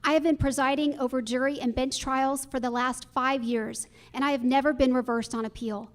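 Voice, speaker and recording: A woman's voice: high voice, steady tone, moderate pace. A woman judge giving a prepared campaign introduction as a candidate at a forum. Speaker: judicial candidate, associate judge.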